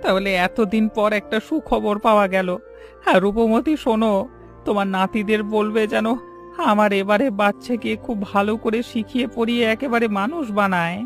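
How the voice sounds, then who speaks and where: An older village boatman played by a man in a cartoon drama, stubborn but remorseful. The delivery is earnest and rustic, with clear music playing behind. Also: high-pitched, moderate pace. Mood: resolved.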